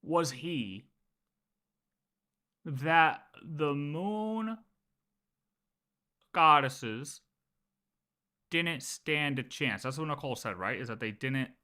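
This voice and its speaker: Halting streamer with a bright, forceful voice. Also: medium pitch, expressive. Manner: halting, repeats and rephrases. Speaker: livestreamer reacting to a video. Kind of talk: casual reaction commentary.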